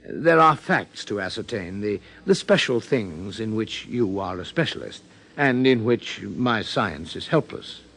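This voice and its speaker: An actor playing an earnest, hesitant psychiatrist in a 1950s radio drama, with formal, halting phrasing. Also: male voice, on the low side, expressive, gravelly, fairly bright tone, exaggerated voice. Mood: earnest, absorbed.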